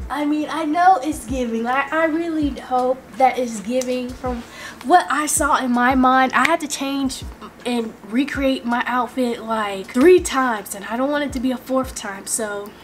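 A woman in her twenties, hoarse from losing her voice, chatting casually to her viewers in a vlog. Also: high voice, slight background noise. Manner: chatty, drawn-out filler words. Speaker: vlogger. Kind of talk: casual chat to camera.

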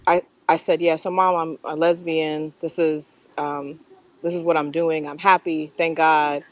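A middle-aged American woman answering interview questions reflectively, in a thoughtful, halting manner that often checks in with the listener. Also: sits low, little pitch movement. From North Carolina, US. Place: outdoors in a leafy park.

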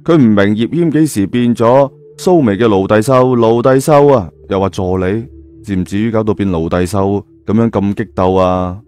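A man's voice: on the low side, normal speed, dark tone, soft background music. A man narrating an audio drama in a colloquial storytelling style.

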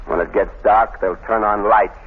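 An actor playing a ruthless, commanding gang boss with a curt, menacing manner in an old radio crime drama. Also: male voice, on the low side, steady tone, normal speed, a little hiss or hum, natural voice. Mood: menacing, gloating. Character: ruthless male gang boss plotting citywide terror. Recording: muffled old radio recording, narrow band.

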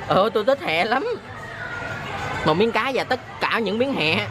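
A man's voice: sits high, huge pitch swings, slow, music in the background. A man in his thirties with a Southern Vietnamese accent, a food vlogger talking casually and playfully to viewers while he eats, filmed on a handheld camera at arm's length in a large indoor buffet. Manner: chatty, playful, talks to viewers while eating. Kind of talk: casual food review vlog.

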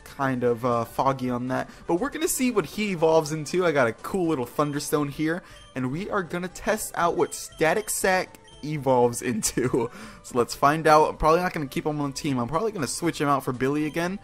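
Higher-voiced man rambling through game commentary. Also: animated, brisk. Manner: rambling, self-mocking, exclaiming. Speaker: gaming video host. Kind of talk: casual playthrough commentary. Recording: music behind the voice. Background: video game music.

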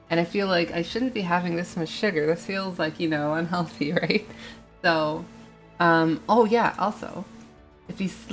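A woman streamer chatting casually while playing, rambling and thinking aloud with lots of ums and small laughs, a bit sniffly. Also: lowish voice. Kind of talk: casual gaming livestream chat.

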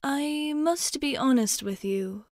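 A woman playing a courteous, guarded vampire bartender in an ASMR roleplay, speaking in soft, measured, formal phrases. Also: high-pitched, dry recording, clean studio sound. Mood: polite, candid. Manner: polite, measured, formal, often dropping into near-whisper.

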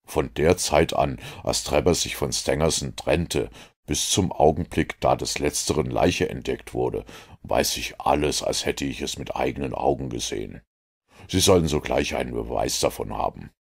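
A man voicing a keen, self-assured detective who explains his conclusions in a measured, commanding way. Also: a bass voice, gravelly, husky, pitched below the performer's own voice, heavily acted. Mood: triumphant.

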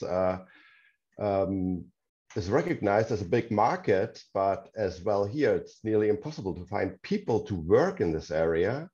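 A low-voiced man with a German accent speaks haltingly, searching for his English words in an online meetup. The audio comes through a video call and sounds dull and lo-fi. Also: warm tone. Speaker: audience member asking a question.

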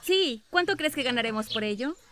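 A woman voicing an excitable, supportive young female fox in an animated fan dub, expressive and eager. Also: high-pitched, brisk. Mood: amazed, excited.

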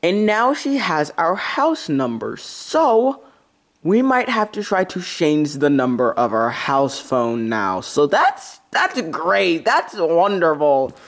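A man acts out a nervy little-brother fox in a homemade plush comedy. He rambles hesitantly with a sarcastic edge, his pitch jumping around a lot. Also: very animated, slow pace, a bit bright, heavily acted. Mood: awkward, sarcastic. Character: anxious, wordy young fox little brother. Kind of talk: amateur plush comedy skit.

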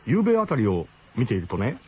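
Male actor as awkwardly diplomatic camp cook: casual, halting, roundabout, radio drama on a faintly noisy old broadcast. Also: fairly low pitch, huge pitch swings, a little mellow. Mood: awkward, hesitant.

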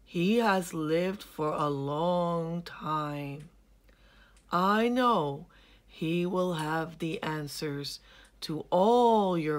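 A woman voicing a mild, slow-moving male chameleon who speaks in a calm, measured way. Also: lowish voice.

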